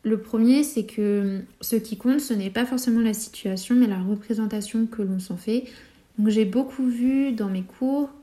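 A woman's voice: fairly high pitch, normal speed, warm tone. A woman in her twenties from France sharing a personal story candidly, hesitantly searching for words as she reflects. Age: 20s. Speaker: guest sharing personal testimony.

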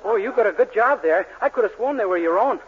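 High-voiced naive young boyfriend. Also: male voice, animated, quick pace. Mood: eager, bluffing. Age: young adult. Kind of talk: 1940s radio comedy acting. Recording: muffled, noisy 1940s radio recording.

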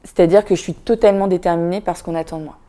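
Low-voiced woman, reflective and halting. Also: steady tone, warm tone. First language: Vietnamese. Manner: thoughtful, many fillers and restarts, addresses listener with "tu vois". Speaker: podcast guest, filmmaker. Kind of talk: casual reflective interview talk.

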